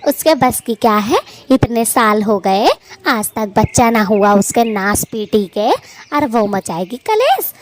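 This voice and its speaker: A woman voicing a domineering older mother-in-law in a cartoon, scolding with sharp taunts. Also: a little high, expressive, measured pace, a little hiss or hum. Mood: bossy. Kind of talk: Hindi cartoon voice acting.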